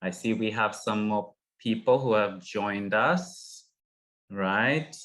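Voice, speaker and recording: A middle-aged man from Uganda moderating an online panel, speaking haltingly with many fillers and restarts over a video call. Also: on the low side, a bit bright. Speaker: session moderator.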